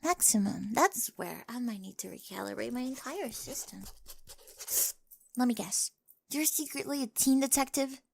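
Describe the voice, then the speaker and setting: Casting: adult woman as eccentric teen girl with a crush, light high voice with some creak, playful awkward ASMR delivery, often whispery. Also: moderate pace, no echo. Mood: teasing, curious. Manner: playful, socially awkward rambling. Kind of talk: ASMR roleplay acting.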